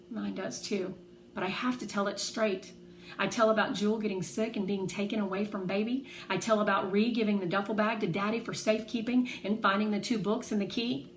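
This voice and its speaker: A woman reading a children's novel aloud in an expressive, animated way, acting out the dialogue. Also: mid-range voice. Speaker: read-aloud narrator. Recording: roomy echo, faint background noise.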